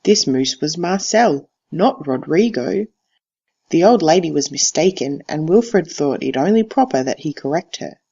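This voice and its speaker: A teacher reads a picture book aloud in a deliberate, expressive storytelling style, on a slightly dull recording. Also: mid-range voice, breathy edge. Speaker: teacher reading to a class.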